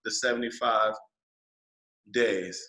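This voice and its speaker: Bright, forceful American man hosting a podcast. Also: fairly low pitch, steady tone, slow. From born in Washington State, raised in Germany. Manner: informal, slangy, playful. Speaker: podcast host. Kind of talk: casual podcast chat. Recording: roomy, echoey podcast room.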